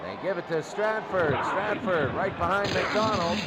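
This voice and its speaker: A man calling American football play-by-play as announcer, excitable and repeating phrases for emphasis. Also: high voice, a little slow. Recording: loud crowd roar and heavy noise. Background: roaring stadium crowd.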